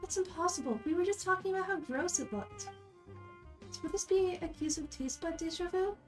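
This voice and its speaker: A woman voicing a tiny, excitable, nosy fairy companion in amateur game dialogue, exclamatory and speaking of itself by name. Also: extremely high pitch, a little fast, faint music behind, voice pushed up. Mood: puzzled. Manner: exclamatory, refers to self by name.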